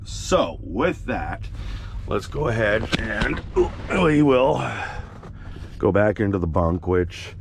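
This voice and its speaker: A man's voice: fairly low pitch, slow. A man casually walking through his model railroad in the sleeper bunk of his semi truck, rambling with plenty of fillers, his voice a bit crackly from recent illness. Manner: rambling, relaxed, lots of fillers and restarts. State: slightly crackly voice, recovering from illness. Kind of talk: casual walkthrough vlog.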